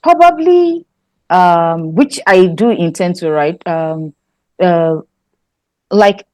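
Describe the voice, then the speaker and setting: A woman from Nigeria with a Nigerian accent, a guest scriptwriter chatting in an interview, thoughtful and quick to laugh, often restarting her sentences. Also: on the low side, expressive, darkish tone, no room sound. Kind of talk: casual interview chat.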